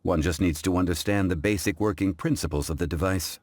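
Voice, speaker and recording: A man playing a composed, scholarly gentleman in a video game, speaking formally and courteously in measured phrases. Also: low-pitched, no room sound, studio quality, natural voice. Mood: thoughtful. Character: composed, scholarly, dignified gentleman of ancient wisdom. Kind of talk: English game voice acting. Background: background music.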